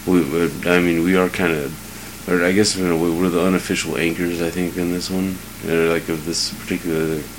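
Deep-voiced man, noisy podcast. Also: flat delivery, a little fast, few pauses. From Southern California. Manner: rambling and profane, with many restarts. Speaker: podcast co-host. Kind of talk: casual chat. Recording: noisy home podcast recording.

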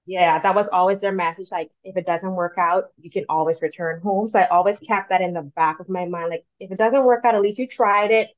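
A woman from the Bahamas, in her thirties, speaking as a career coach guest in a relaxed, thoughtful way with frequent fillers. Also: lowish voice, brisk, few pauses. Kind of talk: casual interview talk. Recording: dull lo-fi sound.

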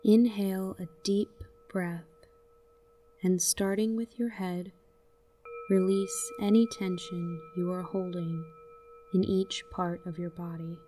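Very slow, mellow woman guiding meditation. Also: on the low side, fairly even intonation, distant mic, faint music behind. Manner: slow, gentle, soothing delivery with long pauses. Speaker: guided meditation narrator. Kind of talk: guided meditation, scripted narration.

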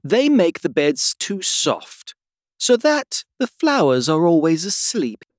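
A woman voicing a bossy, sharp-tempered talking flower in a storybook reading. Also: lowish voice, big pitch swings, slow, no echo, clean studio sound, pitched above the performer's own voice. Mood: instructive.

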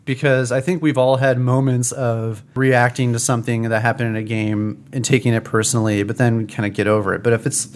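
A man's voice: mid-range voice, flat delivery, fairly quick, hardly any pauses. A man co-hosting a casual podcast, chatty and laughing easily as he tells stories. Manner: chatty, laughs often while telling stories. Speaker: podcast co-host. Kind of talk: casual chat, storytelling.

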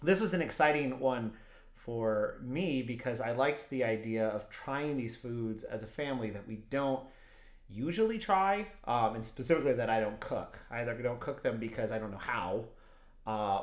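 Male podcast co-host, mid-pitched, relaxed, in a roomy echo. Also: normal speed. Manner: easygoing, uses fillers. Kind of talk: casual podcast chat. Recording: echoey room.

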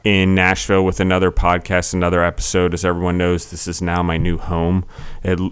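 Young male podcast host, low and steady, chatty and rambling. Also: a little fast, clear, resonant tone. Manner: chatty, rambling with many fillers. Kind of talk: casual interview.

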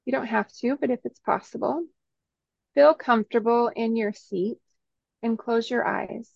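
Lady doing a guided meditation, really slow n soothing, lots of pauses, dry kinda dull recording. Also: fairly high pitch, creaky, no echo. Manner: soothing, instructional, short phrases with long pauses.

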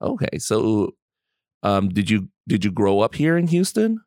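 A woman's voice: extremely low pitch, very animated, a little slow, darkish tone, no echo, clean studio sound. A woman interviewing a guest, asking questions and giving frequent encouraging replies. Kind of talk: casual interview.

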